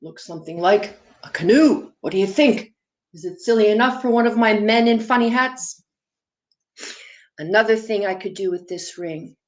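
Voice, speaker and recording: A woman presenting to young viewers as a children's storyteller, explaining props and puppets simply and putting questions to them. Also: mid-range voice. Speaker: children's storyteller and theatre performer.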